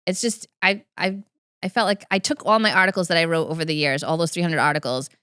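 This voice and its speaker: A woman's voice: lowish voice, steady tone, fast, a bit bright, clean tone, dry recording, clean studio sound. A woman who is a professional organizer, a guest in an interview, talking in an upbeat way and laughing easily. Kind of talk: interview conversation.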